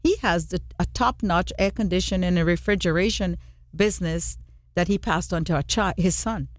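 A woman from Trinidad and Tobago hosting a radio show, chatting warmly and telling stories to draw out her guest. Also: lowish voice, moderate pace, dry recording, clean studio sound. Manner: chatty, storytelling, encouraging. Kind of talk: casual radio interview.

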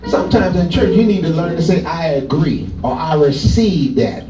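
A man's voice: a little high, moderate pace. A man preaching a sermon with fervor, repeating his phrases and breaking into praise. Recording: distant, roomy, dull, guitar music behind.

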